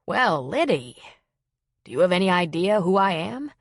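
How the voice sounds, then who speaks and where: A gentle male pastor, voiced by a woman narrator in an audiobook novel. He sounds calm and concerned, asking and reassuring in a measured, soothing way. The recording is close and dry. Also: fairly low pitch, no echo. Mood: gentle, probing.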